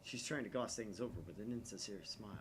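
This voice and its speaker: A man's voice: fairly low pitch, quick pace, slight background noise, lightly acted. A man voicing a paranoid, melodramatic young self-styled mad scientist in a visual novel, theatrical and suspicious. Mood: wry inner monologue. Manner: theatrical, suspicious, dramatic whispers and outbursts.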